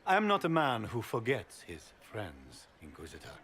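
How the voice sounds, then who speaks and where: An actor playing a proud, scheming noble duke in a video game, with formal, courtly, theatrical speech. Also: male voice, fairly low pitch, huge pitch swings, normal speed, fairly bright tone, slight background noise. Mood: courtly, persuasive.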